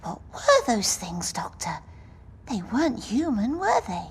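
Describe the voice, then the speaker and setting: Woman acting eager, high-voiced young companion. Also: huge pitch swings, measured pace, breathy edge, exaggerated voice. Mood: curious. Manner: eager, concerned, questioning. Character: young, caring, inquisitive female companion of an adventurer. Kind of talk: audio drama acting. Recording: loud background noise.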